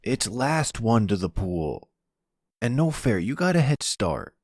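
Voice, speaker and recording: A man voicing a cheeky, excitable college-bound teenage boy in a roleplay audio drama. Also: mid-range voice, measured pace, no echo, studio quality.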